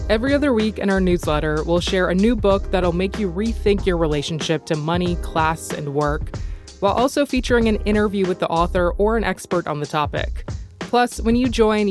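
A woman podcast host reads an upbeat, scripted promo. Her voice is somewhat low, and clear music plays behind her. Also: brisk, a little mellow.

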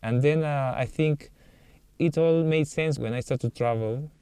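Peruvian chef, clear smooth voice. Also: male voice, on the high side, measured pace, no room sound. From Peru. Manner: relaxed, thinks aloud with many fillers. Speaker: chef and cookbook author. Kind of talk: radio interview answers.

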